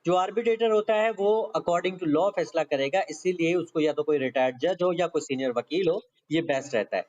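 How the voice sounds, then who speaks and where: A man's voice: a little high, normal speed, darkish tone. A man with a Pakistani accent teaching law in a conversational exam-prep style, explaining step by step with repetition and frequent check-in tags. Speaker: law lecturer. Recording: dull, lo-fi lecture audio.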